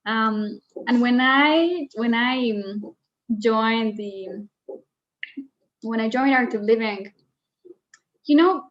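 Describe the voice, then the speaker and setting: A woman's voice: fairly high pitch, very slow, long pauses. Woman from colombia chatting as a guest, lots of "like" and restarts, kinda dull lo-fi audio. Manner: earnest, filler-heavy with frequent "like" and restarts. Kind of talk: casual interview chat.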